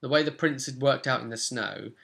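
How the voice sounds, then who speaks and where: A man's voice: mid-range voice, a little fast, vocal fry, a bit bright. A young man with a British accent casually recapping a tabletop role-playing session as its game master. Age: young adult. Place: small cluttered room at home.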